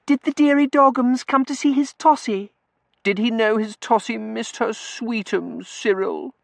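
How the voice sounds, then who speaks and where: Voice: medium pitch, unhurried, creaky, dry recording, pitched above the performer's own voice. A narrator voicing a spoiled, affected young lady who coos in fluttery, prissy baby talk in a storybook reading. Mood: cooing, coy.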